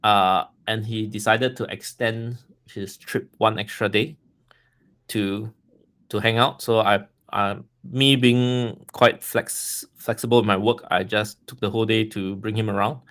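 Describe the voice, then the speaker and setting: A man from Singapore with a Singaporean accent talks as a guest on a casual podcast. His voice is low, and he is friendly, laughs easily and repeats words while he searches for the next thought. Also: fairly even intonation, unhurried, a bit bright.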